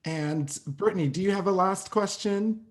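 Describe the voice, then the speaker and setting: A man's voice: high voice, moderate pace. A man hosting an interview over a video call, who talks in an effusive, loose way with many fillers. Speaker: host.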